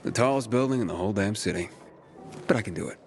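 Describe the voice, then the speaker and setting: A guarded young wanderer hero, played by an actor, speaking in short, laconic lines with a fairly low voice. Faint music runs behind him in a game cutscene. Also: male voice, fast, natural voice.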